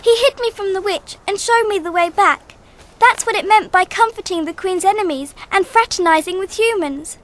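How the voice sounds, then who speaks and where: A woman's voice: extremely high pitch, moderate pace, fairly bright tone. A woman playing an earnest, kind-hearted little girl in a radio drama. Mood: earnest pleading.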